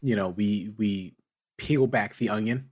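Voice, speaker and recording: A man co-hosting a podcast, chatting casually in an animated, questioning way. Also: on the low side, a little slow, slightly rough. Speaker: podcast co-host. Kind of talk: casual chat about a TV series.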